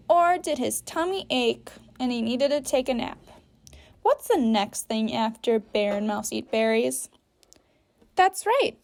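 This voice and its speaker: A woman teacher guiding young children through a story activity step by step, patiently, with simple sentences and guiding questions. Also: high-pitched. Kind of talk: instructional read-aloud for young children, step-by-step.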